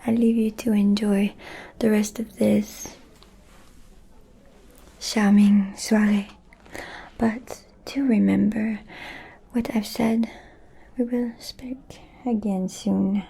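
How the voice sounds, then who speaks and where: Woman playing a slow whispering vampire noblewoman. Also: mid-range voice, little pitch movement, dark tone, heavily acted. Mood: imperious, knowing. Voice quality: breathy whisper. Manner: slow, deliberate, insinuating. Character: scheming, haughty vampire noblewoman, honeyed and manipulative. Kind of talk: whispered ASMR roleplay.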